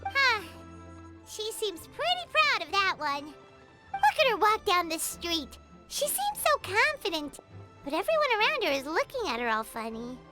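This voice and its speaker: A tiny childlike fairy companion from a video game, extremely high and squeaky. She chatters cheekily with big swoops in pitch, exclaiming freely, over prominent music. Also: wildly swooping pitch, a little slow, exaggerated voice. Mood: chatty, gossipy. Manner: refers to self in third person, exclaiming. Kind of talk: animated video game voice acting.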